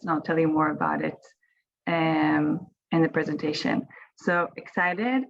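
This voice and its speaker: A woman with an Israeli accent, Hebrew her first language, eagerly telling her story as a winemaker on a webinar panel over a video call. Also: on the low side. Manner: friendly, eager, with small restarts. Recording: lo-fi webinar audio.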